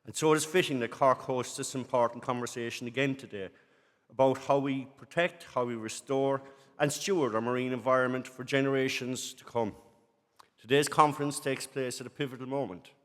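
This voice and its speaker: A man of middle pitch giving a ceremonial welcome speech in a formal, scripted way. His voice carries the long, echoing reverb of a large hall. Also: fairly quick, a bit bright. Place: large city hall with an audience.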